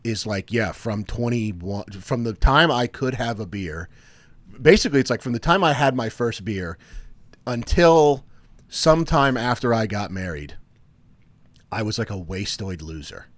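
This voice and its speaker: A man in his thirties hosting a casual podcast chat, often restarting his sentences and trailing off quietly. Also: on the low side, expressive, measured pace, no echo. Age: 30s. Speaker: podcast host.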